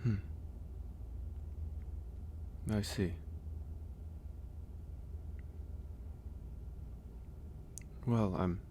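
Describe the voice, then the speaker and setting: Very deep, gentle werewolf played by a man. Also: steady tone, slight background noise, lightly acted. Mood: gentle, caring. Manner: gentle, hesitant, reassuring, pausing often. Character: gentle male werewolf, caring stranger nursing a guest. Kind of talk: ASMR audio roleplay, soft and intimate.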